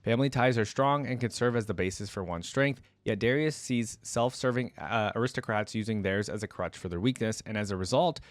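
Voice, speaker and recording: A man's voice: fairly low pitch, brisk, hardly any pauses, no room sound. A man hosting a reaction video, reading game lore aloud and dropping in informal, casual asides. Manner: informal asides between read passages.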